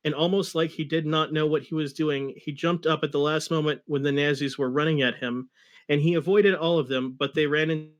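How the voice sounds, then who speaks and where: Guy reading fanfic out loud dramatically, higher voice, throws in wry little comments. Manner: narrates with wry asides. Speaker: reader of a fan fiction story.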